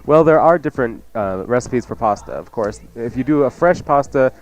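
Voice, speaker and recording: A man's voice: mid-range voice, animated, normal speed, poor audio. A man who is a chef and radio co-host, giving casual cooking advice.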